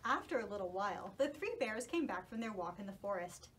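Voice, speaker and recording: A woman narrating a children's story as a puppet show host, animated and reassuring. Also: mid-range voice, brisk, slight background noise.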